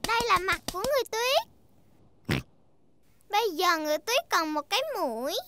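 A woman's voice: very high-pitched, animated, normal speed, background noise. A woman voicing a cheerful, bossy little girl pig in a children's cartoon, chirpy and childlike. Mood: playful, eager. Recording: music bed behind the voice.

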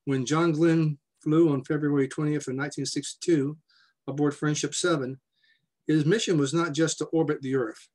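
A man's voice: on the high side, steady tone, normal speed, darkish tone. A man in his sixties opens a virtual symposium from a room at home, speaking through a video call. He is loose and jokey, with a few fillers as he reads out sponsors and introduces a guest. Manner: loose, joking, with filler words. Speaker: society president hosting a symposium. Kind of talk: casual conference welcome and introduction.

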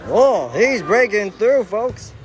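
A man giving excitable play-by-play commentary while filming a soccer match on a phone from the sideline of a large indoor hall. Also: high-pitched, takes it slowly. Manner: excitable, exclaiming at each chance. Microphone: phone camera filming from the sideline. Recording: noisy phone recording in a big hall.

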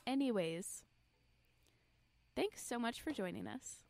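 A woman's voice: a little high, animated, takes it slowly, vocal fry, darkish tone. A young woman chatting casually as the co-host of a podcast. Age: young adult. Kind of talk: casual chat.

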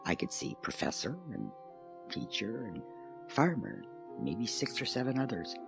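An actor playing a shaken, grieving parent giving testimony in an audio drama. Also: male voice, lowish voice, huge pitch swings, unhurried, gravelly, music in the background, heavily acted. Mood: shaken, grave. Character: shaken, grieving parent recounting a family tragedy.

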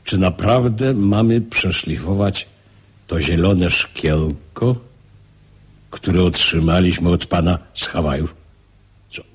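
Wealthy stiff businessman for radio drama: slow, deliberate, heavily raspy. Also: low-pitched, full character voice. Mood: baffled. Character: stiff, wealthy male businessman, growing baffled.

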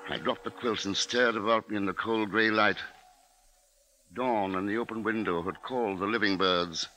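An actor playing a grave, observant husband and father, narrating in a measured, deliberate 1950s radio drama style. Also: lowish voice, fairly even intonation, bright, forceful tone, slight background noise, low-quality recording. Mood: somber dread. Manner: measured, deliberate, literary delivery.

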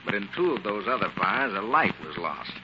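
An actor playing a gruff, dogged American police inspector in a 1940s radio drama. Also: male voice, fairly low pitch, sing-song, a little fast. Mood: businesslike. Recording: muffled, very noisy 1940s radio recording.

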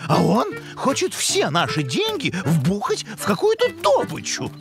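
A man's voice: sits high, wildly swooping pitch, a little slow, gravelly, heavily acted. An actor playing a pompous, bookish male moose scientist in a cartoon who lectures and exclaims theatrically. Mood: lecturing. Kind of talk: cartoon voice acting. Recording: cartoon soundtrack with music.

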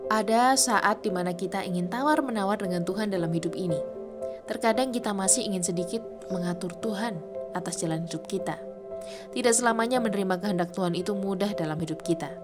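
A woman's voice: mid-range voice, fast. Woman reading a reflection over music. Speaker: devotional reflection reader. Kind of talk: scripted devotional reflection.

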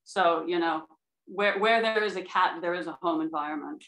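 A woman's voice: on the low side, normal speed, a bit bright. A woman presenting a research paper over a video call from a room at home. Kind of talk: dramatic reading of a scientific paper, then answering questions. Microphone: video call microphone. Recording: roomy, echoing video call audio.

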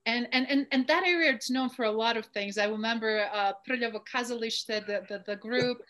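Croatian lady hosting a video call interview, really bright voice, gushing and enthusiastic. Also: on the high side, fairly quick. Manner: effusive, enthusiastic. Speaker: show host. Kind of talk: conversational online interview. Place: indoor room, on a video call.

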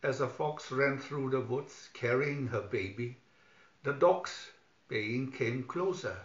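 Male storybook narrator, slow scripted children's read, lo-fi sound. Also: mid-range voice. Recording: dull lo-fi recording, roomy echo.

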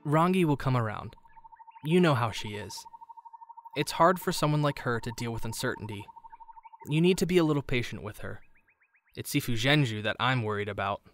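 A man playing a kind, earnest teenage boy in an animated fan drama, with a gentle, playful, conversational manner. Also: mid-range voice, moderate pace, long pauses, slight background noise, natural voice. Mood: earnest, reassuring. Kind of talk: fan visual novel voice acting.

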